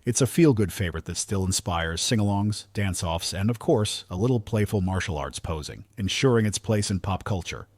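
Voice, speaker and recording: A man's voice: sits low, expressive, normal speed, hardly any pauses, darkish tone. A man reading a scripted music countdown as narrator, with upbeat, promotional phrasing.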